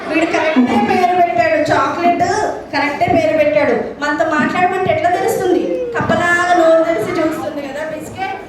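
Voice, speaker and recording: A woman's voice: very high voice, fairly even intonation, quick pace. A woman hosting a children's puppet show, questioning and exclaiming playfully and repeating phrases for the children. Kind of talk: playful interactive storytelling for children. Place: large hall with audience of children. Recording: very echoey noisy hall, distant, crowd.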